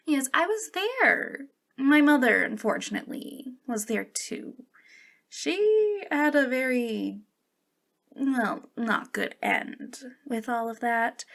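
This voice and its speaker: A woman's voice: on the high side, vocal fry, fairly bright tone, full character voice. A woman playing a centuries-old, youthful-looking witch in an ASMR roleplay, confiding coyly and hesitantly with a giggly, sinister playfulness. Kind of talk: ASMR roleplay monologue addressed to the listener.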